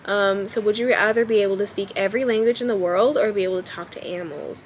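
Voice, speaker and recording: A woman's voice: medium pitch, fast, slight background noise. A young woman with a childlike voice, chatting casually to camera at home and thinking aloud with lots of ums. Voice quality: childlike, baby-ish voice. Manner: relaxed, hesitant, many ums while thinking aloud. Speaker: vlogger.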